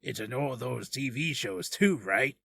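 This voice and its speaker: A man's voice: mid-range voice, lively intonation, measured pace, gravelly, fairly bright tone, husky, heavily acted. A man voicing an odd, smug, evasive hospital director who hums and drawls his asides, for a character reel.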